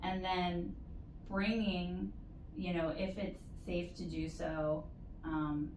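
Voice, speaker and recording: A woman giving measured, explanatory advice as an interview guest, in a conversational way with stretched emphasis. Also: fairly low pitch, little pitch movement. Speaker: interview guest, university postdoctoral support staff. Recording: roomy echo, distant mic, faint noise.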